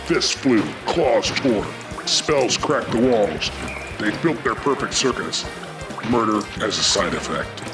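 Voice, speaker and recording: Man playing a grim dragon detective, lowish voice, unhurried, terse noir radio narration, menacing, loud music behind. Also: animated. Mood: brooding narration. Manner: terse, brooding, menacing delivery.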